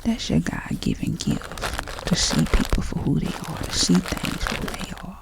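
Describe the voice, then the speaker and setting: A woman with a Southern US accent doing chatty ASMR, speaking softly and half-whispering like a folksy auntie giving advice. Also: lowish voice, huge pitch swings, moderate pace, vocal fry, background noise. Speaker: ASMR creator packing orders.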